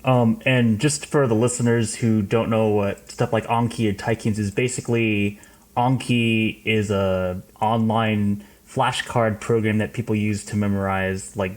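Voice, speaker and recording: Lowish-voiced man in casual podcast talk. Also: fairly even intonation, measured pace. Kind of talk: casual explanatory chat.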